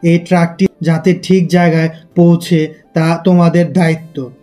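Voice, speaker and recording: A man's voice: a little high, flat delivery, moderate pace, dark tone, soft background music, natural voice. A man playing an authoritative boss who gives formal, instructive briefings in an audio story. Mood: businesslike. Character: authoritative male boss briefing his security team.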